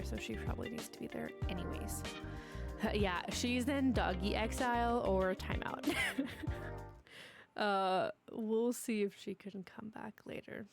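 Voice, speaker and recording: A young woman streaming a game, stuffy and sniffly from allergies, chatting to herself and her dog as she builds. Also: mid-range voice. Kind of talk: casual gameplay commentary.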